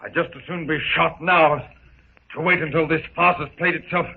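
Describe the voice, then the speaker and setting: An actor playing an indignant, hot-headed gentleman, exasperated and blustering, in a 1930s radio serial. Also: fairly high pitch, fairly even intonation, fairly quick, gravelly, breathy edge. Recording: tinny narrow 1930s radio recording.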